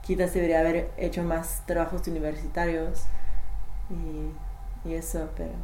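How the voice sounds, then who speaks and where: A young woman whose first language is English, answering interview questions in halting speech with many fillers and restarts. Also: low voice, flat delivery, warm tone. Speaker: language study participant. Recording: echoey room, faint noise.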